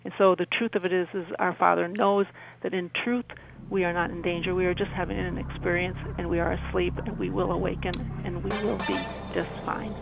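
A woman's voice: fairly low pitch, little pitch movement, fairly quick, creaky. A woman speaking as a radio interview guest over a tinny remote line, earnestly explaining with rhetorical questions. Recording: tinny, narrow phone-like line.

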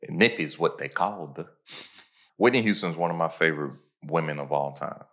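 A man's voice: very deep voice, fairly quick, a bit bright. A man hosting a podcast, talking casually and motivationally in repeated punchy phrases. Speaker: podcast host. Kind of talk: casual conversational podcast talk.

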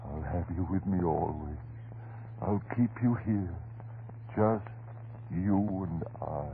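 An actor playing an obsessed, secretive and nervous husband in a 1930s radio horror melodrama, speaking in halting, repetitive phrases. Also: male voice, sits low, creaky, heavily acted. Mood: anguished, tender. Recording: scratchy, hissy, narrow-band 1930s radio recording.

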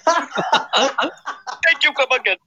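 A man's voice: very high-pitched, very slow, sharp tone, a little hiss or hum, exaggerated voice. A man voicing an overeager male shop clerk who repeats stock phrases in a sing-song way. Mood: giddy.

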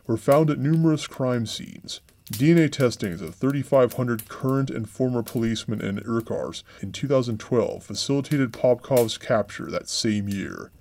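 A man with a low voice reads a true-crime script as a podcast host. His delivery is measured and somber, and he keeps a steady narrating pace. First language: English.

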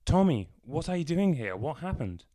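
A man's voice: on the high side, big pitch swings, normal speed, warm tone, no room sound, pitched above the performer's own voice. A man voicing a groggy young man, just woken, who stammers and fumbles his words. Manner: stammering, flustered.

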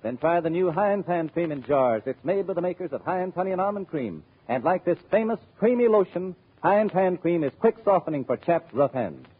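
A man's voice: high voice, expressive, moderate pace, hardly any pauses, breathy edge. A man with an American accent reading scripted announcements in an old-time radio style. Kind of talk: 1930s-40s radio announcing, scripted ad read. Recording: tinny old radio broadcast recording. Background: music.

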